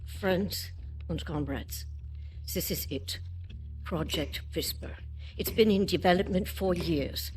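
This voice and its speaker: A woman's voice: on the low side, slow pace, darkish tone. A woman playing a commanding, weary but determined resistance leader in a video game cutscene. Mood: rousing, resolute. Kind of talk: cinematic video game acting. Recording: music behind the voice.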